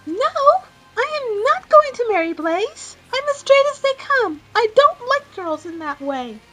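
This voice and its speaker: Very high-pitched little rabbit girl. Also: sing-song, a little slow, bright, forceful tone, soft background music, heavily acted, rough recording. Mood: indignant. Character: young girl rabbit, sweet, polite, innocent. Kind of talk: character voice in a fan-made Q&A show.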